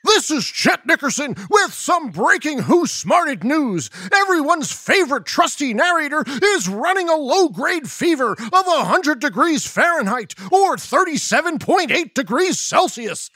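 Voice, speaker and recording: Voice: sits high, very animated, a little slow, few pauses, bright, forceful tone, no room sound, heavily acted. A performer voicing a self-important male news reporter in a children's podcast sketch, who announces everything as breaking news in a dry studio recording. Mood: newscaster. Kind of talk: kids podcast comedy sketch.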